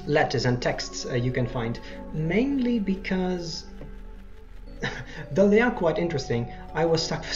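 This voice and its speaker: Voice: mid-range voice, darkish tone, dull audio. A let's play host giving casual, rambling game commentary with self-deprecating asides.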